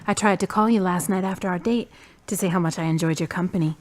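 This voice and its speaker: A woman's voice: on the low side, fast talker, natural voice. A woman playing a caring, earnest young woman who speaks in a direct way.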